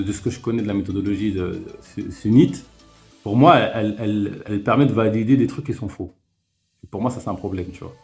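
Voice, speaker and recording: A man with a fairly low voice lays out his points step by step. He hesitates often and restarts his sentences, and faint music plays under the live-stream call. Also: gravelly, a little mellow. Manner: hesitant, many fillers and restarts, reasoning step by step. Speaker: host. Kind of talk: calm religious debate on a live stream. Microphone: remote live-stream call.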